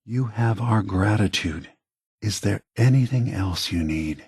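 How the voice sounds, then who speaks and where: An ancient synthetic being who leads with gentle, weary idealism in a video game. The voice is husky and takes its time, halting and choosing words with earnest care. It is recorded very dry and close. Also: male voice, on the low side, lively intonation, dry recording, heavily acted. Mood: apologetic, measured. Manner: measured, halting, earnest, diplomatic. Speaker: leader of a synth refuge. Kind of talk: video game character dialogue.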